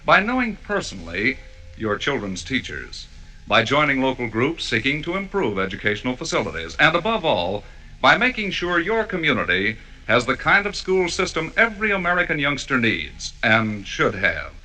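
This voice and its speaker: Forceful, bright vintage radio announcer man. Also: medium pitch, sing-song, normal speed, poor audio. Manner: polished, emphatic. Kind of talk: 1940s radio announcing and ad copy. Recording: vintage radio broadcast recording.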